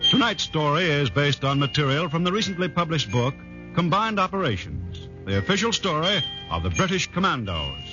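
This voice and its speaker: A man's voice: on the high side, big pitch swings, brisk. An American man announcing in a formal, declamatory 1940s radio style. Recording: muffled 1940s radio, music behind.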